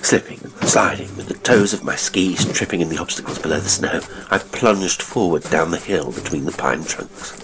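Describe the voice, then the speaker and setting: Male horror narrator, very low register, grave and suspenseful delivery, faint ambient noise under the read. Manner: suspenseful, deliberate phrasing, grave and theatrical. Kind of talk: dramatic audiobook narration of classic horror.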